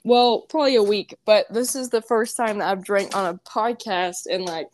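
A woman's voice: medium pitch, normal speed, slight background noise. A young woman co-hosting a podcast, tipsy from wine, chatting casually with lots of rambling and bursts of laughter. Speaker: podcast co-host. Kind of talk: casual chat.

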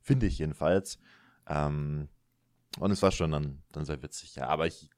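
A man in his thirties with a notably deep voice talks in a loose, rambling way, poking fun at himself and tacking filler tags onto his thoughts. The recording is dry and close, with no room sound. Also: moderate pace, darkish tone, dry recording. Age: 30s. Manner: laid-back, rambling, self-mocking, frequent filler tags. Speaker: podcast host. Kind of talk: casual chat.

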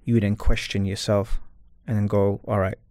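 Low-voiced man in reflective conversation. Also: flat delivery, moderate pace, dark tone, dry recording, clean studio sound. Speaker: interview guest, coach.

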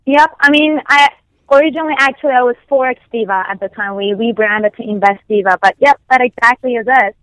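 A woman, not a native English speaker, telling her story as a podcast guest in a lively, animated way, acting out the conversations she recounts. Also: fairly high pitch, fairly quick, no room sound, low-quality recording. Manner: animated storytelling, acts out quoted exchanges. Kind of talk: casual interview conversation.

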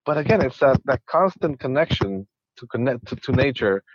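A man's voice: mid-range voice, lively intonation, normal speed, breathy edge, no room sound, muffled recording. A man from Ecuador, a conservationist guest, talking casually in an interview with many restarts and repeated words.

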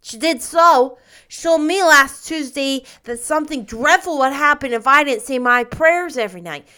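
A woman voicing a stubborn little boy who argues loudly and indignantly in a storybook reading. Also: sits high, expressive, moderate pace, pitched above the performer's own voice. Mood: aggrieved. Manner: loud, indignant, argumentative.

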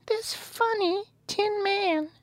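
A man voicing a tiny, regal queen of the field mice in a squeaky, thin falsetto, as a storybook character. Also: slow, no echo.

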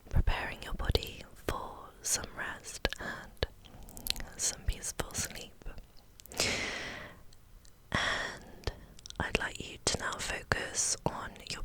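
A woman gently guiding a relaxation as an ASMR host, in a breathy, soothing whisper. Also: poor audio. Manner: gentle, soothing, unhurried instruction.

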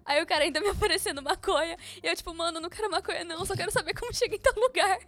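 A woman's voice: extremely high pitch, rapid-fire, fairly bright tone. A young Brazilian woman with a Brazilian Portuguese accent, chatting casually with slang and frequent laughs. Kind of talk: casual chat.

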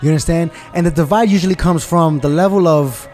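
A man's voice: fairly high pitch, brisk, darkish tone, faint music behind. A man from New York in a casual conversation, talking emphatically and often asking "you understand?" to check he is followed. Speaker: guest.

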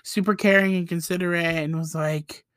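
A woman's voice: lowish voice, steady tone, moderate pace, dry recording, studio quality. Chatty young woman telling a story. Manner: chatty, fillers of "like" and "you know", laughing asides. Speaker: podcast host. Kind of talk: casual storytelling.

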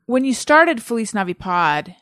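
A woman's voice: a little high, big pitch swings, normal speed, a bit bright, no room sound, studio quality. A woman hosting a podcast, asking quiz questions and chatting in a playful way. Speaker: podcast host. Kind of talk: casual chat, quiz hosting.

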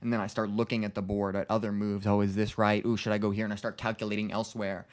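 A man's voice: on the low side, flat delivery, quick pace. A man in his early twenties talking casually and reflectively on a podcast, earnest and rambling, with plenty of slang. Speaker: podcast co-host.

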